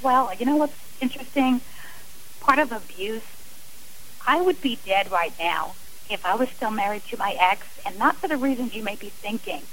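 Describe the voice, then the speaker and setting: Higher-voiced woman, earnest and emphatic. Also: lively intonation, normal speed, a bit bright, a little hiss or hum, poor audio. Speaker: interview guest, author and abuse survivor. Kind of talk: radio interview, personal testimony.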